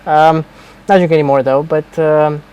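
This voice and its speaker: A young man hosting a podcast, asking questions in a relaxed, hesitant way with many fillers. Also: on the high side, moderate pace, a little hiss or hum, low-quality recording. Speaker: podcast host. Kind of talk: casual interview chat.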